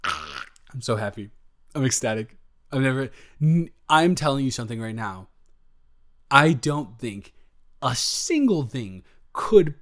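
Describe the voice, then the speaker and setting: Casting: male actor for a sweet, easily flustered young boyfriend in intimate audio roleplay, mid-pitched, halting and stammering, eager and smitten. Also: fairly bright tone, full character voice. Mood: playful, excited. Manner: stammering, halting, trailing off and correcting himself.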